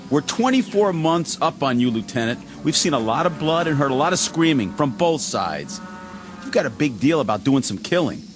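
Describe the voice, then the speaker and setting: Casting: worn-out private, higher voice with a lively swing, blunt and defiant, loud score. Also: male voice, moderate pace, music in the background. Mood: bitter. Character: bitter, blunt young male army private.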